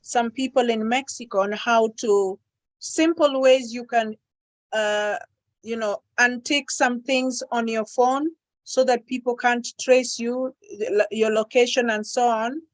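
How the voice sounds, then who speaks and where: A woman from Kenya with an East African accent and a fairly high voice joins an online meeting as a participant. She speaks informally and encouragingly, restarting phrases as she offers her comment. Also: fairly even intonation. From Kenya, born in Uganda. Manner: encouraging, halting. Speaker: audience participant, trainer. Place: online call.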